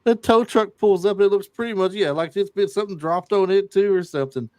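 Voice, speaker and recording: A man's voice: sits high, a little fast, a little mellow, dry recording. A man hosting a casual podcast, retelling movie scenes and reading off film trivia with lots of fillers. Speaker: podcast host. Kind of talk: casual chat.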